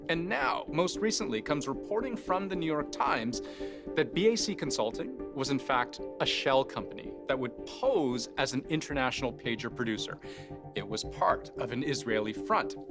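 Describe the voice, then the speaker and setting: A man hosting a news explainer in a conversational scripted style, posing rhetorical questions and stressing key phrases. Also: mid-range voice. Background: faint music.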